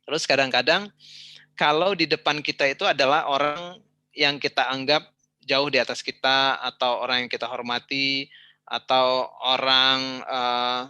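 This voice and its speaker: A middle-aged man from Indonesia giving relaxed, conversational advice over a video call, with fillers and asides. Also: mid-range voice, measured pace, long pauses, clean tone, dry recording. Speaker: speaker answering questions in an online session. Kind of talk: casual talk and advice.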